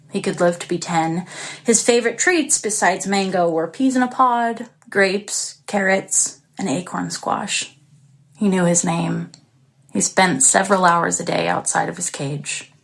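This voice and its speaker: Audio drama lead: lonely young woman, tender and conversational, gravelly fry at phrase ends, unhurried. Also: lowish voice. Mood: quiet, recounting. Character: lonely, tender young woman sheltering alone, newly caring for a bird. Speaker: actor performing a monologue. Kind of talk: intimate audio drama monologue.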